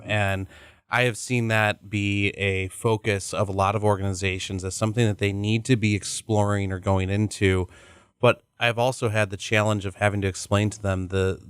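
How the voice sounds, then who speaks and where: A man hosting a podcast, asking a long, halting question with many restarts. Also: lowish voice, smooth, no room sound, studio quality. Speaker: podcast host. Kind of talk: long-winded interview question.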